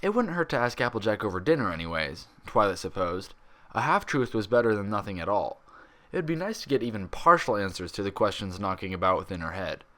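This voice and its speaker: A man narrates fiction in a fairly deep, bright voice. His delivery is expressive and very lively in pitch, as a scripted story reader. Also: fast.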